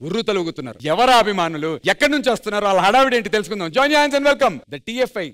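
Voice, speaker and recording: A man's voice: high-pitched, lively intonation, racing, noisy background. A man hosting a stage show, effusive and announcer-like, mixing in English and drawing out his welcomes. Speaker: dance show host. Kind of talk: energetic stage hosting in Telugu mixed with English. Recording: music behind, studio stage show.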